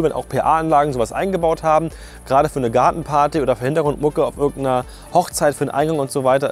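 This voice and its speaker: A man's voice: fairly high pitch, darkish tone. A man giving a casual, colloquial and opinionated product review as a sound technician.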